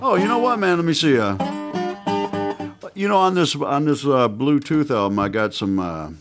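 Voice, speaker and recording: A man's voice: on the high side, sing-song, normal speed, music in the background. A man in his sixties from the United States, a guest musician chatting casually in a small radio studio, laid-back and peppering his talk with "man" and "you know". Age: 60s. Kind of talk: casual radio interview chat. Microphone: stand mic at guitar.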